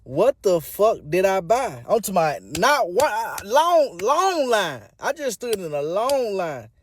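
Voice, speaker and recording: High, lively man telling a comic gripe. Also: takes it slowly, slight background noise. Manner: comic, profane, exasperated. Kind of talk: storytelling to camera.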